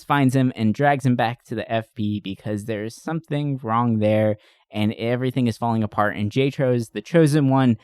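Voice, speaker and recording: A young adult man hosting a podcast, recapping movies in a casual, comedic way with animated storytelling, swearing and slangy asides. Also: medium pitch, smooth, dry recording, studio quality. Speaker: podcast host.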